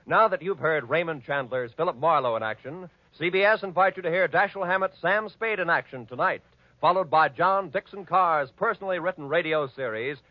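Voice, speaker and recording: High-pitched American old-time radio announcer man. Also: a little fast, no echo. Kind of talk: formal 1940s radio announcing, scripted. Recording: muffled vintage radio broadcast, music behind.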